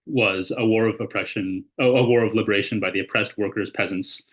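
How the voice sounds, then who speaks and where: A man appears as a guest on a podcast and explains his view in a measured way. He reads quoted passages aloud as he goes. His voice sits somewhat low. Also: fairly even intonation, low-quality recording. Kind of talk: casual discussion, reading quotes.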